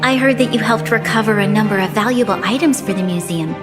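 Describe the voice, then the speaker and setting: A woman voicing a gracious, composed young female leader in a video game, formal, measured and authoritative. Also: medium pitch, close to an everyday voice. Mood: resolute. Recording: reverberant hall, music behind.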